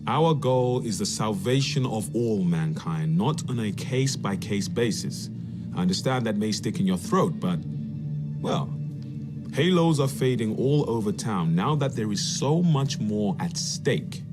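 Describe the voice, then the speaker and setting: A man playing a stern, urbane handler of a secret order in a video game, measured, formal and dryly sardonic. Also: lowish voice, expressive. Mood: stern, commanding. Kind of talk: video game cutscene acting. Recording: music behind the voice.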